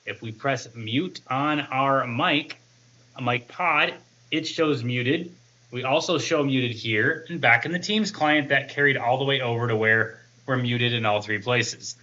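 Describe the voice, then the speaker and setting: A man's voice: mid-range voice, pushed and bright, muffled recording. A man in his thirties gives a conversational, step-by-step product demo in an explanatory manner. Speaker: tech reviewer and presenter.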